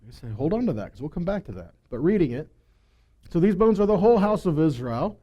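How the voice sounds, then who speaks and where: A man's voice: a little high, big pitch swings, fast, mellow. A man teaching from the Bible in a conversational sermon style, reading scripture and breaking in with rhetorical questions and emphatic repetition.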